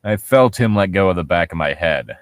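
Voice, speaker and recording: A man's voice: low-pitched, expressive, fairly quick, no echo, clean studio sound. A man doing a comedic read-aloud of horror fiction, theatrical and sardonic. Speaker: co-host reading horror stories for laughs.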